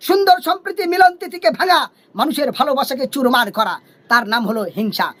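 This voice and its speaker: A man speaks in a strikingly high voice at a racing pace. He delivers a fiery political address as an activist, impassioned and combative, hammering his points through repetition. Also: fairly bright tone. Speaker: human rights activist.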